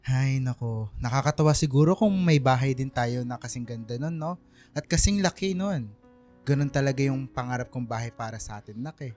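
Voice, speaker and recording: A man's voice: mid-range voice, brisk, darkish tone, soft background music, close to an everyday voice. A man playing a cheerful, doting working-class father in a radio drama, affectionate, joking and a little wistful.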